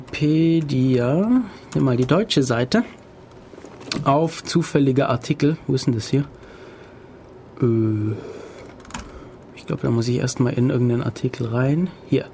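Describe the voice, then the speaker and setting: A man's voice: mid-range voice, a little mellow. Brief: male podcast host, casual, reads text aloud with stumbles, faint noise behind. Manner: reads aloud haltingly, stumbles over words.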